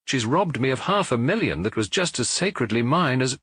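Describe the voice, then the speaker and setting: A man's voice: medium pitch, sing-song, brisk, fairly bright tone, husky, no echo, clean studio sound, voice pushed up. A man voicing a grandiose, furious American charlatan prophet in an audiobook detective story, ranting shrilly. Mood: indignant. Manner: ranting, indignant, bombastic.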